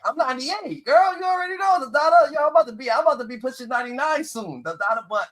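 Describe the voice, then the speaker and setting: A man's voice: very high voice, expressive, fast, a bit bright. A man hosting a casual talk in profane street slang, often repeating his phrases. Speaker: host.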